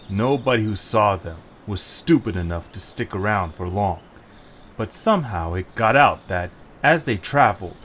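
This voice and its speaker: Male narrator, scripted storytelling, animated delivery, slight background noise. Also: on the low side. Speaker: narrator and voice actor.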